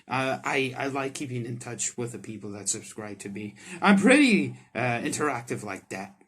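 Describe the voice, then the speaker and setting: A man's voice: mid-range voice, big pitch swings, normal speed, a bit bright, husky. A man hosting a gaming video, reading visual novel text aloud and commenting on it in informal, slangy language. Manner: informal, slangy, swearing, reacts aloud to what he reads. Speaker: gaming YouTuber, host.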